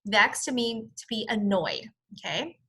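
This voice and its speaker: A woman reading a children's story aloud as a teacher, in an expressive, explanatory manner. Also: mid-range voice, measured pace, breathy edge. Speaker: first-grade teacher.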